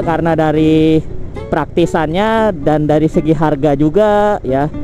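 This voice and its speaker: High-pitched Indonesian man, casual vlogger. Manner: slangy and conversational, with frequent tag words. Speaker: motovlogger and channel host. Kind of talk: casual product comparison vlog.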